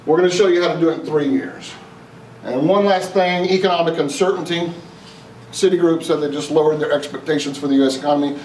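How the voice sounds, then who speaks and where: Homme texan à un séminaire. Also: male voice, a little high, fast talker, a little mellow. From East Texas. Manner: folksy, rhetorical questions to the room. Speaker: investment speaker. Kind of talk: live seminar talk to an audience. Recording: roomy, distant, faint noise.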